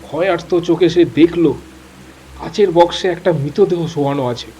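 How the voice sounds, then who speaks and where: A man's voice: fairly high pitch, moderate pace, dull audio, background noise. Suspenseful male horror narrator, rain behind. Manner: suspenseful, theatrical delivery. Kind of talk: dramatic scripted narration of a horror story.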